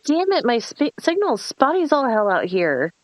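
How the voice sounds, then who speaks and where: A woman's voice: high-pitched, sing-song, moderate pace, low-quality recording. A woman playing a bossy, sarcastic young woman scheming a prank in a screenplay table read. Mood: irritated. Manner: sarcastic, foul-mouthed, commanding.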